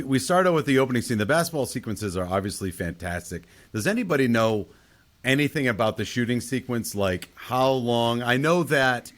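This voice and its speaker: A man hosts a relaxed film talk in a mid-pitched voice. He sounds inquisitive and conversational, turning to the others with questions. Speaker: podcast host. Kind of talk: casual film discussion.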